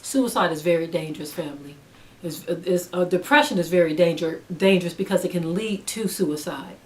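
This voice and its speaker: A woman's voice: lowish voice, fairly quick. A woman giving an earnest spiritual teaching, emphatic and repeating phrases for stress. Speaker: religious teacher. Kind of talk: spiritual teaching, sermon-like monologue.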